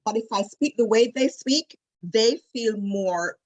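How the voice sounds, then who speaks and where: A woman in her late forties with a Jamaican accent, a wedding travel specialist chatting as an interview guest in a lively storytelling way, with many fillers and restarts. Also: a little high, a little slow, rough recording. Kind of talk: casual interview chat.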